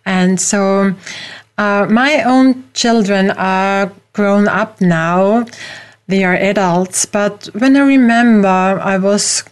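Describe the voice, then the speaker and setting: A middle-aged woman from Austria with an Austrian German accent, hosting a radio talk show in slow, hesitant speech with many fillers. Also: mid-range voice, clear, resonant tone.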